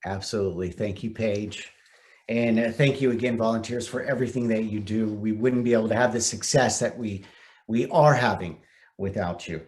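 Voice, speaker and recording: A man's voice: lowish voice, moderate pace, a little mellow. Man hosting a virtual event. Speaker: event host, news anchor. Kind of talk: scripted event hosting over video call. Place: indoors on a video call.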